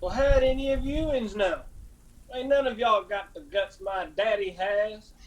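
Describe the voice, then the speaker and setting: An actor playing a proud, stubborn young mountain sweetheart with a folksy Appalachian drawl in a radio drama. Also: male voice, sits high, measured pace, highs cut off. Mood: defiant, taunting.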